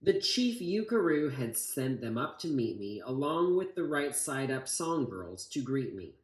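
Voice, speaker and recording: A man reading a rhyming children's story aloud expressively, with deliberate emphasis on phrases. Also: mid-range voice, very animated. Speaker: volunteer storybook reader. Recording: echoey room.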